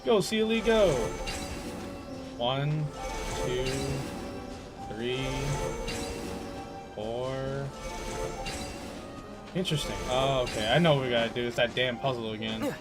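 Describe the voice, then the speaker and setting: A man's voice: medium pitch, expressive, slow, long pauses. A young man giving casual, slangy gameplay commentary, often talking to himself as he plays. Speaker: video game streamer. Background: game music.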